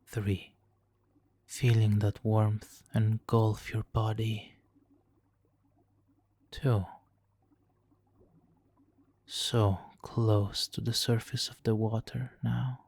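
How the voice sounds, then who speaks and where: A man's voice: fairly low pitch, level tone, very slow, gravelly, long pauses, a little mellow, dry recording, exaggerated voice. A man playing a suave, manipulative supervillain in an ASMR roleplay, silky and theatrically polite, smugly doting and sometimes slipping into whispers. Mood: soothing, hypnotic. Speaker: audio roleplay performer. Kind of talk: ASMR roleplay, hypnotic countdown.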